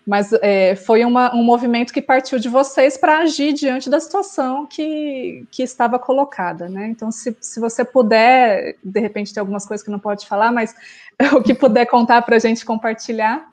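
A woman host from Brazil asks a long question over a video call in a fairly high voice. She is polite and hesitant, restarting her phrases often. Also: moderate pace, darkish tone. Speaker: event host asking questions. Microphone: earphone mic on a video call.

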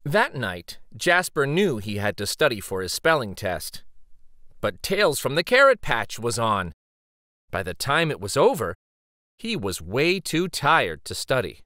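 A man reading a children's story aloud dramatically, with theatrical, suspenseful pauses. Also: mid-range voice, huge pitch swings, sharp tone, dry recording, clean studio sound.

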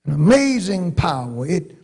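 A middle-aged man from North Carolina with a Southern accent, preaching a sermon from a church pulpit with long pauses and rising emphasis. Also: high voice, big pitch swings, vocal fry, darkish tone, husky. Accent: Southern American. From North Carolina, USA. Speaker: pastor. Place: large church sanctuary, at a pulpit. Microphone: pulpit microphone.

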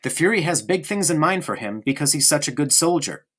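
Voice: medium pitch, expressive, brisk, fairly bright tone, slightly rough, voice pushed up. A narrator voicing a stubborn, chatty young boy in an audiobook, speaking eagerly and insistently. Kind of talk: audiobook character reading.

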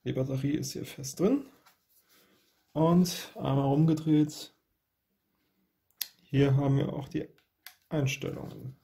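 A man's voice: mid-range voice, fairly even intonation, takes it slowly, vocal fry, pauses often, dark tone. A man hosting an unboxing with casual hands-on commentary, recorded indoors at a table. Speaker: unboxing video host.

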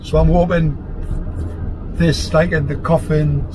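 Hoarse truck driver vlogging from the cab, pitched a touch high, chatty and rambling; loud engine and road noise throughout. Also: male voice, fairly even intonation, measured pace. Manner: chatty, rambling, self-deprecating. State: hoarse, lost voice from a cough. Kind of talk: casual driving vlog. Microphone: dashcam-style camera in the cab.

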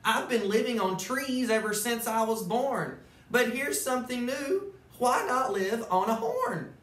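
A man voicing a small excited male bird in a storybook, with a chirpy manner. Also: extremely high pitch, measured pace, reverberant, far from the mic, voice pushed up, exaggerated voice.

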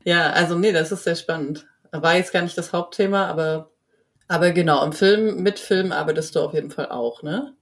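A woman's voice: fairly low pitch, normal speed. A woman hosting a German podcast, chatting casually and laughing easily as she interviews a guest. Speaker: podcast host.

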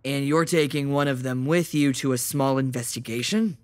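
A man's voice: mid-range voice, normal speed, darkish tone, close to an everyday voice. A man as a wry, skeptical young vampire. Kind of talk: audio drama acting.

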